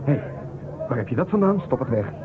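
A man's voice: mid-range voice, very animated, fast talker, crowd noise, lightly acted. A man playing a blunt space crewman. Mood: forceful. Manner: blunt and forceful. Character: tough male space crewman, commanding and blunt. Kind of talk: radio drama acting. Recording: tinny lo-fi recording with clear noise.

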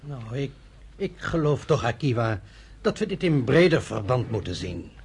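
An actor playing a young, energetic, pragmatic leader, reasoning persuasively in a Dutch radio play. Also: male voice, mid-range voice, moderate pace, slightly rough, full character voice. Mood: reasoned, persuasive.

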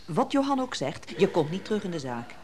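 Bitter woman, wildly swinging pitch. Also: very animated, fairly quick. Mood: cold, accusing. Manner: sharp-tongued, accusing. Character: bitter, money-minded, scolding sister-in-law. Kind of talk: naturalistic Dutch radio drama acting. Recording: noisy old radio drama recording.

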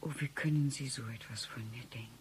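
An actress playing a scheming, seductive femme fatale in a satirical noir radio play, theatrical and halting as she pleads. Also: very deep voice, darkish tone, a little hiss or hum. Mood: shocked, hushed.

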